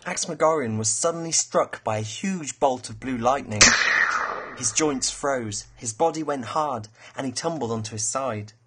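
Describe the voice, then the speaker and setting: A man's voice: mid-range voice, moderate pace, a little hiss or hum. A man, an author reading his children's fairy tale as a storyteller.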